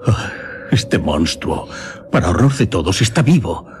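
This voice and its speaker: An actor with a Spain accent playing a horrified, vengeful gentleman in a theatrical, emphatic radio drama style. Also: deep voice, very animated, a little slow. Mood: horrified awe. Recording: radio drama with noisy atmospheric backing.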